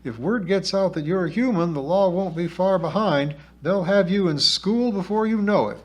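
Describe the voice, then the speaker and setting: A man's voice: high-pitched, moderate pace, dull audio, voice pushed up. A man voicing a plucky, bossy small-town girl, folksy and self-assured, in a storybook reading. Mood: bold. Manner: folksy, self-assured, talking like a little lawyer.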